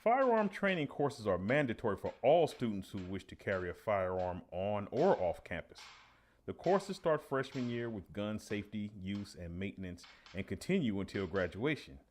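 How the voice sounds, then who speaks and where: An actor playing an earnest veteran high school teacher giving a measured, official interview in a radio play. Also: male voice, big pitch swings. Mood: proud, matter-of-fact.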